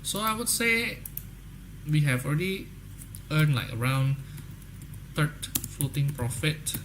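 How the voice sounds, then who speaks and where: A man's voice: fairly high pitch, expressive, slow, background noise. A young man from Indonesia with an Indonesian accent, hosting a live trading stream in casual, salesy chat and often tagging "guys, okay?". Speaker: trading livestream host. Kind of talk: casual livestream chat with viewers.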